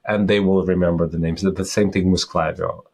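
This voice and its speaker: Low husky man. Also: fairly even intonation, a little mellow. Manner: halting, restarts words, heavy accent. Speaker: podcast co-host. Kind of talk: casual business chat.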